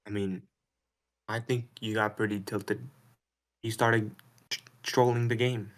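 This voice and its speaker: A man's voice: on the low side, flat delivery, unhurried, fairly bright tone. A man on a voice call, defensive and irritated, swearing often. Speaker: caller. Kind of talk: heated argument on a voice call.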